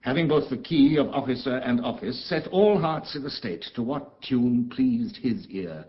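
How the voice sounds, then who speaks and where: A man's voice: mid-range voice, expressive, moderate pace, dull audio, close to an everyday voice. An actor playing an embittered, commanding exiled duke and father in a theatrical, declamatory Shakespearean style. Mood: brooding, intense. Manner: theatrical, grave, declamatory, with dramatic swells and hushes. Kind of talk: classical Shakespearean stage acting.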